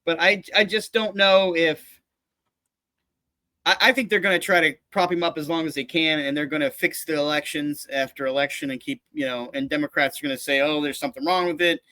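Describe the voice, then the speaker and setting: A man's voice: on the high side, fairly even intonation, fairly quick, sharp tone, no room sound. A man chatting casually about politics, rambling and restarting his sentences, dropping into mock voices for jokes.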